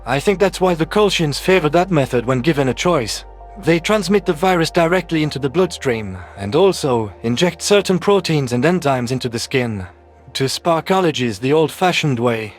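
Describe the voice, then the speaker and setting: A man voicing a calm, candid, friendly male alien doctor in a science fiction audio story. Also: on the high side, normal speed, few pauses, soft background music, lightly acted. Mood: explanatory.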